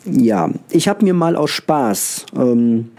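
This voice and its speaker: Mellow-voiced man rambling with a cold. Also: medium pitch, expressive, slow. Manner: hesitant, many filler sounds, loose rambling. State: has a cold, occasional coughing. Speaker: podcast host. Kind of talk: casual solo podcast monologue.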